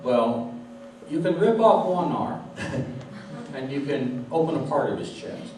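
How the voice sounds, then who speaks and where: A man's voice: a little high, animated, slow pace, a little mellow. Wry man, heavily echoing room. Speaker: interviewer, host. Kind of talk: informal explaining to a live audience. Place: public audience question session in a room. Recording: very echoey room, distant mic, clear noise.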